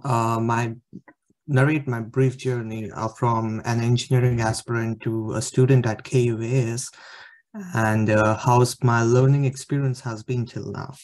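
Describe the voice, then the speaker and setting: Brief young male student from India, mid-pitched, halting presenter on a video call. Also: a little mellow. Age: young adult. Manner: hesitant, many fillers. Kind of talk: slide presentation talk. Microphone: webcam on video call.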